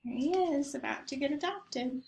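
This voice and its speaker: A woman doing an expressive read-aloud for young children, with questioning asides to her listeners. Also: sits high, normal speed, echoey room, a little hiss or hum.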